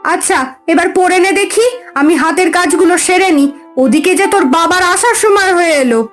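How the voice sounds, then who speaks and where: A woman's voice: very high voice, normal speed, soft background music. A woman voicing a strict but caring mother in a cartoon story, speaking in an emphatic, instructive way. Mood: brisk.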